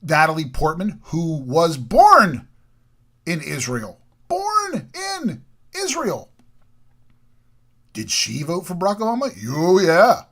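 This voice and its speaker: A man delivering an emphatic, opinionated political monologue, from the United States, repeating points and swinging between loud and quiet. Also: on the high side, huge pitch swings, takes it slowly, pauses often. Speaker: political commentary host.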